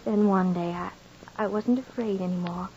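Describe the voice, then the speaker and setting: An actress playing an anguished, bewitched, dying wife in an old-time radio horror drama, speaking in halting, fervent melodrama. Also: medium pitch, warm tone, breathy edge. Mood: confessing, distressed. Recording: dull vintage radio recording, faint music.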